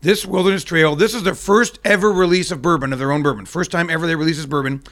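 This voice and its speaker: A man's voice: a little high, fast talker, fairly bright tone. A man chatting casually as a podcast guest, explaining things in a matter-of-fact way. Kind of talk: casual chat, explaining bourbon.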